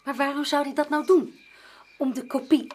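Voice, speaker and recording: An actress in a radio drama playing a sensible, forthright female companion, brisk and questioning. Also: high-pitched, steady tone, a little hiss or hum, close to an everyday voice. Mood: curious.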